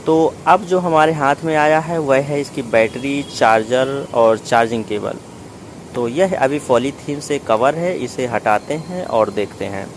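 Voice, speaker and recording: A man's voice: mid-range voice, measured pace, slight background noise, poor audio. A man narrating a product unboxing in a methodical, explanatory way, step by step. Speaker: product reviewer.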